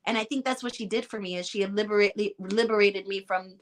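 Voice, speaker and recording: A woman's voice: medium pitch, steady tone, brisk. A woman with a Chicago Puerto Rican accent, an actress talking passionately and emphatically as a guest in a casual conversation. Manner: passionate, emphatic, acts out quoted reactions.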